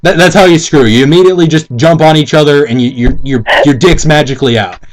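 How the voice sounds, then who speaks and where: A man's voice: fairly high pitch, fast, background noise. A man doing a casual dramatic reading as co-host, mixing it with crude, sarcastic, exasperated commentary. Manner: sarcastic, foul-mouthed, exasperated. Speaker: co-host reading and mocking a fanfiction.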